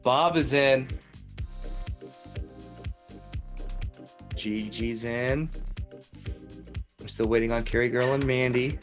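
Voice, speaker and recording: A man's voice: mid-range voice, faint music behind, close to an everyday voice. A man playing an upbeat, teasing parody game show host who stretches out questions with theatrical emphasis. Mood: showy, deliberate. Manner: repeats questions with drawn-out emphasis.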